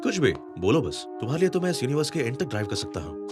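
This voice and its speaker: A man's voice: medium pitch, sing-song, very fast, music in the background, close to an everyday voice. A man playing an upbeat, daring young snowboarder in a Hindi-dubbed action cartoon. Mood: upbeat, eager.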